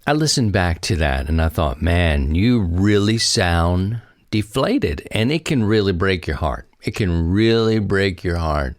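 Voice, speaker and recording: A man's voice: low voice, lively intonation, a little slow, few pauses, no echo, clean studio sound. A man with an American accent hosting a podcast, chatting casually and rambling in a folksy way to his listeners. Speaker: podcast host. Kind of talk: casual solo chat to listeners.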